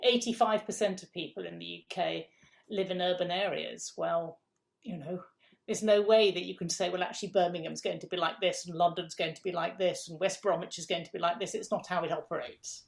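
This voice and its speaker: A woman's voice: on the low side, fairly even intonation, a little fast, vocal fry. A woman being interviewed as the executive director of a food council, explaining in a frank, emphatic way. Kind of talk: interview answers.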